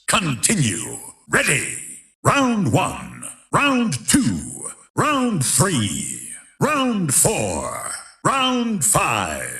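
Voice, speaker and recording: A man playing a grandiose, menacing tournament announcer in a video game, narrating in a theatrical, emphatic, drawn-out style. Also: lowish voice, a bit bright, reverberant, exaggerated voice. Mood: booming, commanding. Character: grandiose, menacing male fighting-tournament announcer of epic legend. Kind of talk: dramatic scripted fighting-game narration.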